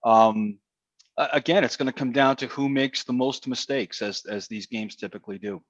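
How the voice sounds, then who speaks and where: A man's voice: medium pitch, normal speed, no room sound, highs cut off. A man, a stadium announcer, talking football as a guest, teasing and hesitant with many restarts. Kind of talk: casual sports talk.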